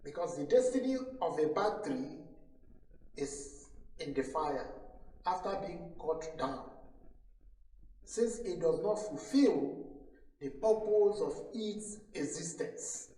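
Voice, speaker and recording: A man's voice: fairly high pitch, expressive, slow pace, pauses often, warm tone. A man preaching a sermon as a pastor, solemn and admonishing, repeating phrases for emphasis. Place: church hall during a service. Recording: echoey room, distant microphone.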